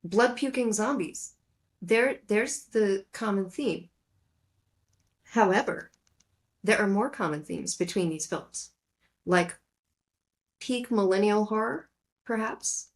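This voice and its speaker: A woman's voice: mid-range voice, takes it slowly, long pauses. A woman co-hosting a casual film discussion, talking in a playful, jokey way that wanders into digressions. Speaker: podcast co-host.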